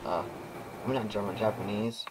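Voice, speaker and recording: A man's voice: lowish voice, fairly even intonation, moderate pace. A young man chatting casually and swearing through banter while playing a game. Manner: profane, bantering. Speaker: gaming video creator. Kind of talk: casual chat while gaming. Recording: noisy home gaming recording. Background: clear background noise.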